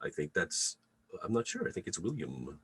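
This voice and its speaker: A man casually hosting a post-show discussion on a video call, halting a little as he searches for words. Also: low voice, very animated, a loud crowd. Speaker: theatre company host of an online event. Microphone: video call microphone.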